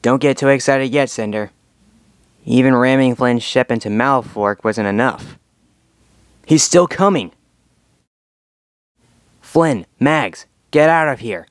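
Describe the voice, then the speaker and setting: A man's voice: mid-range voice, takes it slowly, long pauses, no room sound, studio quality. A man voicing a heroic young dragon leader in a cartoon dub audition, determined, confident and earnest as he rallies his team. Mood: urgent, commanding. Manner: earnest, commanding, rallying. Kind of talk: scripted cartoon fandub audition lines.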